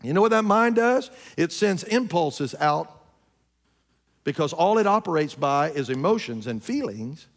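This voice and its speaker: Pastor, man, 50s, high voice, emphatic preacher who questions the congregation and drops to low asides. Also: very animated, a little slow. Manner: emphatic preaching, swinging between loud peaks and low asides; addresses congregation directly with questions. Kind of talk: sermon. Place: church sanctuary.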